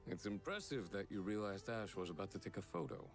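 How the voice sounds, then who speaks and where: A man's voice: on the low side, animated, brisk, soft background music, close to an everyday voice. A man playing an aloof young man with a dry, formal way of talking.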